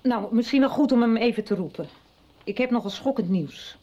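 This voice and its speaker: A mellow, dark-toned woman who presses with probing questions , played by an actress. She often drops to a low, quiet register. The recording is a dull, lo-fi 1990s radio soap. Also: brisk, natural voice. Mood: urgent. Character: sober, probing woman chasing hard news.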